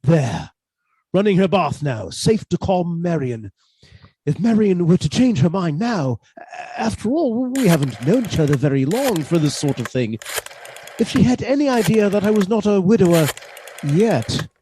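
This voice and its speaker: A man playing a meek, middle-aged British botanist husband, submissive and quietly sly, in a radio suspense drama. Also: high voice, very animated, normal speed, a little hiss or hum. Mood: bitter, brooding.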